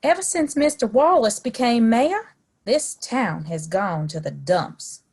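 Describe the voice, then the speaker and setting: A woman playing a friendly, bold frontier townswoman in a western audio play, slightly husky and chatty. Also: fairly high pitch, animated, measured pace, a little mellow, full character voice. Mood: indignant.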